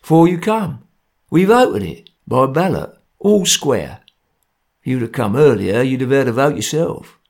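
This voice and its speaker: A man voicing a grim, domineering Cockney ringleader, stern, laconic and gravelly, in a story reading. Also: medium pitch, big pitch swings, a little slow, a little mellow. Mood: matter-of-fact. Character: grim, domineering male ringleader of bungling conspirators.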